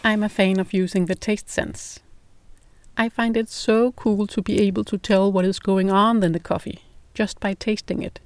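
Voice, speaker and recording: A middle-aged woman from Denmark, speaking English with a Danish accent as a non-native speaker, hosting a podcast in a calm, measured, scripted narration. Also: medium pitch. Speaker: podcast host.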